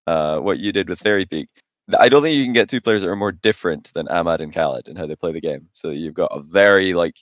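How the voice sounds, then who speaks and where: A man's voice: low-pitched, quick pace, no echo. A man in his late twenties chatting casually as co-host of a gaming podcast. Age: late 20s.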